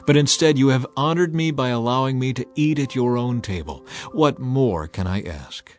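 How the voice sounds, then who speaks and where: A man's voice: mid-range voice, animated, moderate pace, soft background music, lightly acted. A man voicing a wronged but humbly loyal royal grandson in a Bible drama. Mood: earnest, submissive.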